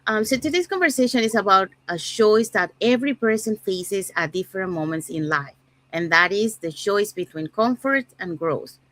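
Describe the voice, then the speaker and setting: A woman from Panama hosting an online panel talk, relaxed, often saying "so yeah". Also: medium pitch, normal speed. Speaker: host.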